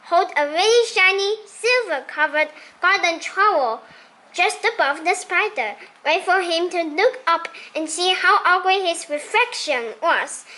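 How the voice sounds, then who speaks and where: A woman reading a children's story aloud expressively, in a childlike storytelling manner with deliberate phrasing. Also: extremely high pitch, bright, forceful tone. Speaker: storybook reader.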